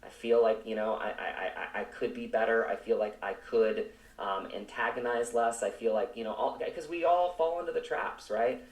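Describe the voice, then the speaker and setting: A man speaking reflectively as an interview guest, earnest and halting, repeating words as he searches for phrasing. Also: medium pitch. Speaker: actor, podcast guest. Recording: roomy, echoing, distant from mic.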